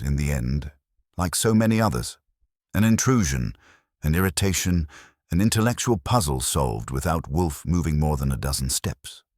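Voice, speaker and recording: A man reads detective fiction in a very deep voice. His intonation moves freely while the delivery stays measured and wry. The sound is dry, with little room on it. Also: dry recording. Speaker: audiobook narrator. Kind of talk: first-person detective story narration.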